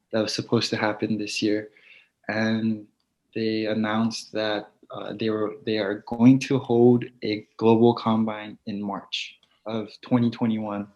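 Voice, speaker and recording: A young man who grew up in Hawaii, talking casually in an online interview about his football plans, soft-spoken with many fillers. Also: lowish voice. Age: young adult. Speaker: football player guest. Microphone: video call. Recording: dull lo-fi video call audio.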